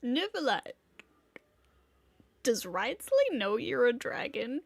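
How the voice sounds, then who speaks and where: A woman with a lowish, raspy voice that swings a lot in pitch. She reacts casually to a game on stream, gushing and teasing, recorded very dry. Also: expressive, slow, no room sound. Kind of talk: casual livestream commentary and reactions.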